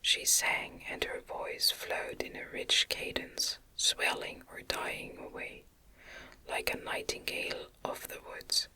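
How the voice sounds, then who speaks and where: A woman reading a gothic novel aloud in a gentle, breathy ASMR whisper, with careful phrasing, close to the microphone. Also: slow, low-quality recording. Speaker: audiobook reader.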